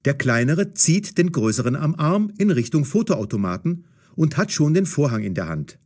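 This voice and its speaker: Male narrator, audio story for learners, clearly articulated, animated melody. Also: a little high, normal speed.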